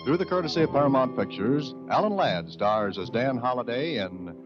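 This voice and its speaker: Vintage radio announcer, male, lively swooping delivery over loud music, muffled old recording. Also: medium pitch, normal speed.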